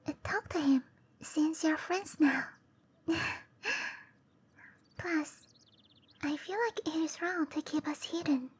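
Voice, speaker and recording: A woman voicing a shy, gentle young cherry blossom dryad in a breathy, hesitant whisper for roleplay ASMR. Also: high-pitched. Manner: hesitant, bashful, softly spoken. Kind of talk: roleplay ASMR, intimate and slow.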